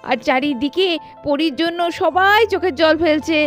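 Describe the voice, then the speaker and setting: Casting: female narrator for a dramatic doll tale, high voice, music bed. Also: moderate pace.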